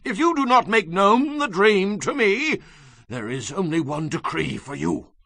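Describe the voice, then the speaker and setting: Angry bossy king in bible audio drama, high forceful voice, drawn out and theatrical. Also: sing-song, creaky, no room sound, heavily acted. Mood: angry, accusing. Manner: commanding, drawn-out theatrical delivery. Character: angry, imperious king issuing threats and demands.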